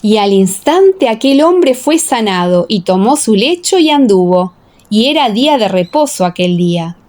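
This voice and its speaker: A woman's voice: fairly high pitch, big pitch swings, normal speed, a little mellow. A woman reading scripture as the narrator of a dramatized audiobook.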